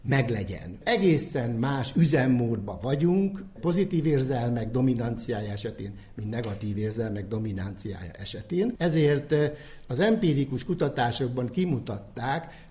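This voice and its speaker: Male expert lecturer, mid-pitched, formal and didactic, explaining in measured phrases. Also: a little mellow. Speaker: psychology expert.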